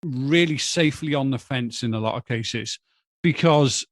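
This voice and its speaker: Man, podcast guest, thoughtful measured talk, mid pitch, dry sound. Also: dry recording. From Wales. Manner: measured, thoughtful, with hesitant starts. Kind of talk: casual podcast chat.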